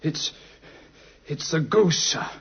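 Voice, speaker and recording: A man's voice: a little high, takes it slowly, fairly bright tone, slightly rough, heavily acted. A man acting a flustered, awestruck working-class London fellow, stammering and deferential, in an old British radio drama. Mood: agitated. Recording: dull vintage radio recording.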